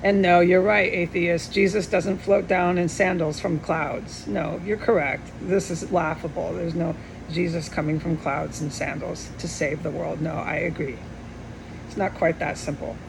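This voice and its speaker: A middle-aged woman giving a rambling, earnest personal monologue with insistent, repeated emphasis and a gravelly voice. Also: unhurried. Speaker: vlogger. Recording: steady background hum and rumble.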